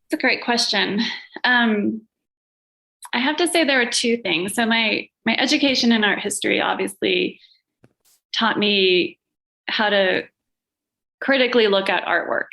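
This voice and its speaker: A woman's voice: fairly high pitch, measured pace, vocal fry, pauses often, sharp tone, poor audio. A woman who learned Latvian at home as her first language, talking about herself in a casual interview with frequent little laughs and self-deprecating asides. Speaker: gallery owner and curator, podcast guest.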